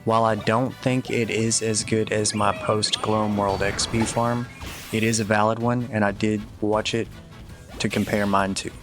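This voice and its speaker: A man's voice: lowish voice, little pitch movement, normal speed, music in the background. A man giving casual video game walkthrough commentary and tips in a matter-of-fact, advisory way.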